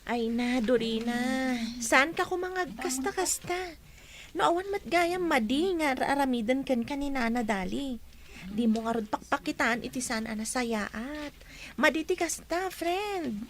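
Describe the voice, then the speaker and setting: An actress with an Ilocano accent voicing a kind teenage schoolgirl who gently reproves her friend. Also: sits high, lively intonation, a little slow. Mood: gentle reproof.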